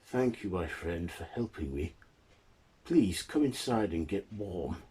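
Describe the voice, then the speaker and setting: A man voicing a gentle, lonely old blind grandfather with a kindly, earnest way of talking. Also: low-pitched, expressive, slow, husky, exaggerated voice.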